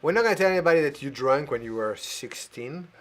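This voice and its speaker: A man hosting a live variety show over a video call, playful and animated, laughing as he talks. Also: medium pitch, fast. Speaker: host.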